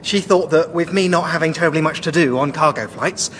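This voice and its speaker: An actor playing a cheerful, naive young English airline steward in a radio sitcom, guileless and enthusiastic. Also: male voice, a little high, brisk, fairly bright tone, a little hiss or hum, close to an everyday voice. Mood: earnest.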